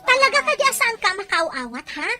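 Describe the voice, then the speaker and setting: A woman's voice: very high voice, big pitch swings, fairly quick, pushed and bright, heavily acted. A woman playing an impatient, scolding market woman in an audio drama, harsh and dismissive.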